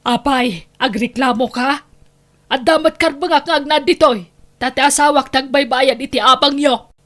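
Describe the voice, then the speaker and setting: A woman's voice: sits high, normal speed, a bit bright. A woman playing a long-suffering, betrayed wife and mother in an Ilocano radio drama, emotional and pleading. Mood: indignant.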